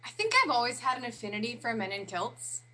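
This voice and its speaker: Bright-voiced woman telling stories. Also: on the high side, quick pace. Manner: animated storyteller, laughs through her own anecdotes. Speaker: guest. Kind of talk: casual interview chat.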